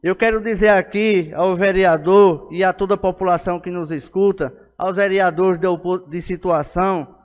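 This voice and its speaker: A man with a Northeastern Brazilian accent addresses a council session in an oratorical, insistent manner. His voice is high for a man and very clean in tone. It rings through the chamber with a long reverb. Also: fairly even intonation, normal speed. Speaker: city councillor. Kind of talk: political speech in a plenary session. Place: indoor council chamber at a lectern. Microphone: gooseneck podium microphone. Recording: echoey hall with long reverb.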